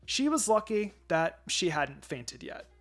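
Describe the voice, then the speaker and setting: Man for dramatic fan fiction narration, fairly high voice, music under the read. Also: very animated, unhurried, fairly bright tone.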